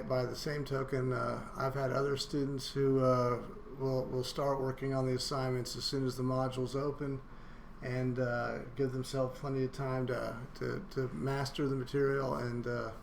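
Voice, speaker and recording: A male college instructor talks through his syllabus in a flat, monotone voice that barely rises or falls. He stumbles along with frequent fillers and restarts. The room rings with echo and carries a faint hum of ambience. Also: medium pitch. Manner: halting, many "uh" fillers and restarts. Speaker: university instructor. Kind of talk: recorded online course syllabus walkthrough.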